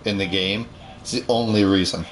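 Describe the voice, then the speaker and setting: A man's voice: lowish voice, steady tone, normal speed, low-quality recording. A man chatting casually with friends during an online game, dry and laid-back. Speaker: gaming friend. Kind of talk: casual gaming chat.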